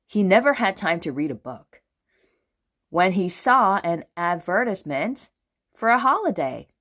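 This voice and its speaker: Slow, very animated woman storyteller. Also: fairly low pitch. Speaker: children's book reader. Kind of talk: expressive storybook narration for children.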